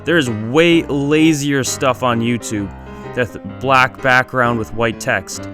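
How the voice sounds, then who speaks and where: A man's voice: mid-range voice, fairly even intonation, slow pace. Male video essay narrator over music. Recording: music behind the voice.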